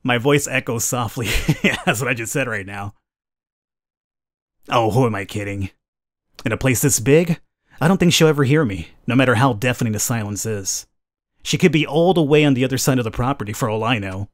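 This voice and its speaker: Man narrating a game dramatically, medium pitch. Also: normal speed. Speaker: let's play gamer. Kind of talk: reading game narration aloud, with commentary.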